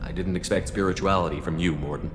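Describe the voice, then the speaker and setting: An actor playing a steady, principled male military commander in a video game, questioning in a direct way. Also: deep voice, fast talker, over music, close to an everyday voice. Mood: probing. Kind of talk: video game character acting.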